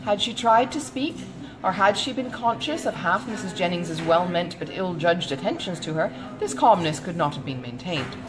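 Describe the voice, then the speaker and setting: A woman reading a classic novel as an audiobook narrator, in a measured, expressive storytelling manner. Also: lowish voice, over music, low-quality recording.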